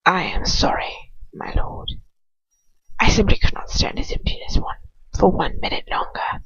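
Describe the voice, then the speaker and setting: A woman voicing a proud male aristocratic villain, speaking in a hushed, breathy, formal way as a servant to his master. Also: medium pitch, huge pitch swings, moderate pace, no room sound, whispered, dull audio, exaggerated voice. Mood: stiffly apologetic.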